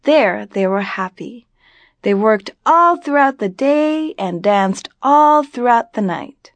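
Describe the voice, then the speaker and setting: An American woman with a fairly high voice tells a story in an expressive, dramatic way. She takes her time, stretching out phrases, and the sound is dry and close. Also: no room sound. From grew up in Virginia, United States. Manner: deliberate, dramatic, with repeated phrases drawn out. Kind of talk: expressive oral storytelling of a family folk tale.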